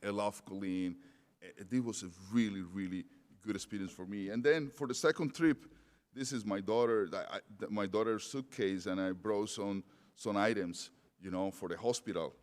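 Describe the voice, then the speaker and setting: Middle-aged male speaker with a non-native accent: deep, halting conference talk, in a reverberant hall. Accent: non-native English. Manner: hesitant, many fillers and restarts. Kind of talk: conference presentation.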